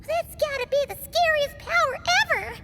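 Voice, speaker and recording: A woman voicing a tiny, excitable female fairy companion in a video game cutscene, asking wide-eyed, alarmed questions. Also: extremely high pitch, moderate pace, heavily acted. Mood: alarmed curious. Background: game score music.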